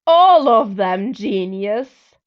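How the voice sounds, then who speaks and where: A woman's voice: mid-range voice, animated, drawn-out words, dull audio. A woman voicing a hot-tempered, sarcastic tomboy in a story reading, with a whiny, scornful manner. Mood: scornful, exasperated.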